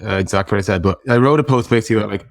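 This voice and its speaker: A man with a very low voice talks in a casual interview, thinking aloud as he explains. The sound is close and very dry, with hardly any room on it. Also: very fast, dry recording. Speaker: interview guest, tech product executive.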